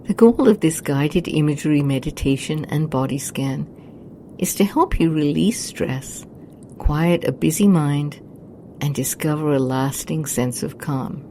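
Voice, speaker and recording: Woman doing a guided meditation, soft mellow low voice, real slow, bit of rumble behind her. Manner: soothing, hushed, unhurried delivery. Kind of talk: scripted guided meditation narration.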